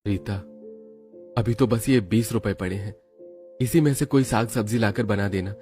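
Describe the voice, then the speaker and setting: A man's voice: on the low side, moderate pace, dark tone, faint music behind, lightly acted. A man voicing a poor, worried labourer and father in a cartoon moral story, plaintive and earnest. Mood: worried, hopeful. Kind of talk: Hindi animated moral story acting.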